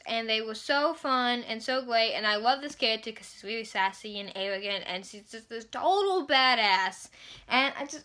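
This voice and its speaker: A woman's voice: high voice, pushed and bright. A young woman chatting casually as a book vlogger, with a gushing, emphatic fangirl delivery and informal, slangy wording. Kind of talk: casual book chat to camera.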